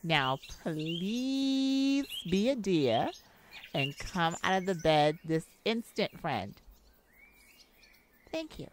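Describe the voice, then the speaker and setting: A woman's voice: lowish voice, big pitch swings, drawn-out words, full character voice. A woman playing a chipper, silly, caring roommate and best friend in a comforting audio roleplay, with playful, theatrical phrasing. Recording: noisy, birds and insects behind. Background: nature sounds with birdsong and insects.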